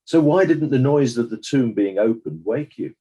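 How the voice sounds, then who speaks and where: Man playing stern inquest judge, animated intonation. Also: mid-range voice, slightly rough. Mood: sceptical, probing. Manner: formal, measured, probing. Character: stern, sceptical coroner questioning witnesses at an inquest. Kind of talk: scripted courtroom drama.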